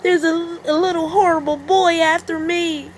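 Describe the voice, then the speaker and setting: A woman's voice: very high-pitched, steady tone, slight background noise, voice pushed up. A woman voicing a young, mischievous male wolf in a children's storybook. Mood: alarmed yelling. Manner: loud drawn-out cries for help. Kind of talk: children's storybook read-aloud.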